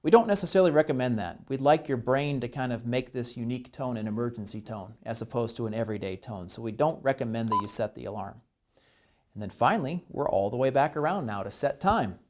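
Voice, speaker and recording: A man presenting a step-by-step instructional demonstration, explaining each step deliberately. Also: mid-range voice, expressive, darkish tone.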